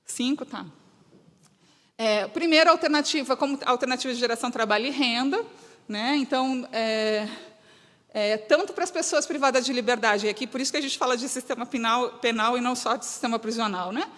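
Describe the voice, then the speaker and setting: A woman's voice: a little high, a little fast, a bit bright. A woman with a gaúcho southern Brazilian accent giving an earnest, emphatic semi-spontaneous public talk, often breaking off and restating her phrases. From Rio Grande do Sul, Brazil. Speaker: guest speaker. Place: reverberant hall, live talk to an audience. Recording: echoey hall with long reverb.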